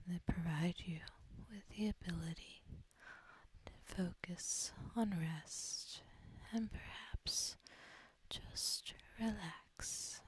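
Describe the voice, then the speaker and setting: A woman softly whispering a guided sleep hypnosis in a breathy, husky voice, gentle and soothing, with long deliberate pauses. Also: on the low side. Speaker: ASMR host guiding sleep hypnosis. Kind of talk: soft-spoken ASMR guided relaxation.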